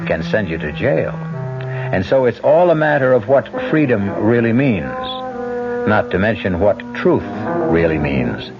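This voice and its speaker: An older man narrates a radio mystery in a grave, measured way, his pitch rising and falling in wide, dramatic sweeps. A clear musical score plays behind him. The sound is dull and muffled, like a vintage radio broadcast. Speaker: host and narrator.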